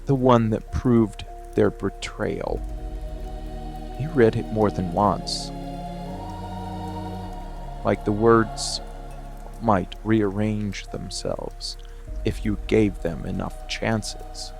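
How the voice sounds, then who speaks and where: A man acting as a dark, protective monster lover murmurs in an intimate ASMR roleplay. The voice is low and heavily gravelled, brooding and seductive, with deliberate pauses. Rain and music play clearly behind it. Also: heavily acted. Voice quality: gravelly, creaky. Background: steady rain and music.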